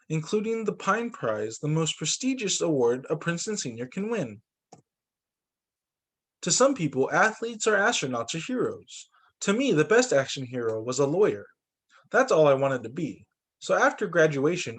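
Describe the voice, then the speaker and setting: Animated man reading a storybook aloud. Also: a little high, breathy edge. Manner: animated, acts out dialogue for children. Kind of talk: expressive children's read-aloud narration.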